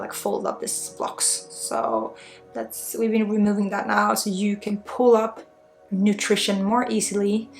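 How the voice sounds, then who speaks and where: A woman with a Swedish accent guides an ASMR spiritual session as an energy healer. Her mid-pitched voice is thick with vocal fry, and she talks in an informal, reassuring way. Faint music plays behind her. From Sweden.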